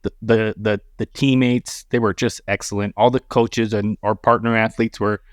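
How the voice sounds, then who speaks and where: A man's voice: fairly low pitch, little pitch movement, normal speed, no room sound, studio quality. A man in his mid-forties chatting casually, repeating words as he goes and swearing freely, in a self-deprecating way. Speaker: podcast guest. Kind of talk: casual banter and storytelling.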